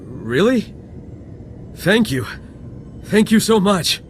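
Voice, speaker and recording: An actor playing a desperate, sick villager and devoted husband in a video game, emotional and faltering. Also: male voice, high-pitched, big pitch swings, slow pace, a little hiss or hum, heavily acted. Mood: relieved, grateful. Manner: pleading, stammering, emotional. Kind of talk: video game dialogue acting.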